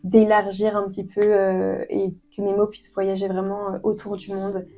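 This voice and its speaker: A woman's voice: mid-range voice, monotone, normal speed, mellow, faint music behind. A young woman in her twenties from France, answering interview questions earnestly with many hesitations and fillers. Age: 20s. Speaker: author guest.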